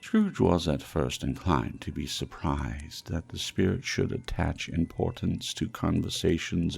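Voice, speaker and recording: A man's voice: low voice, gravelly, a little mellow. A man reading a classic ghost story as dramatic scripted audiobook narration. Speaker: audiobook reader.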